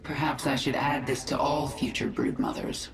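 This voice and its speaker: A woman's voice: extremely low pitch, little pitch movement, moderate pace, noisy background. An actress playing a ruthless, commanding alien queen in a sci-fi game, with an imperious, menacing manner. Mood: cold, determined. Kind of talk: scripted sci-fi game voice acting. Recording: echoey, distant, music underneath.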